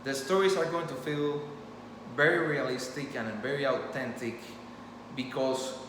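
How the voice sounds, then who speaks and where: A man whose first language is Spanish talks earnestly and without a script into his phone. His voice sits in a middling register and rings around an echoey room with a long tail. A steady background hum sits clearly under his words. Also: mid-range voice, measured pace. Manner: rambling, earnest, many restarts. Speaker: storyteller channel host. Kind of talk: impromptu talk to camera.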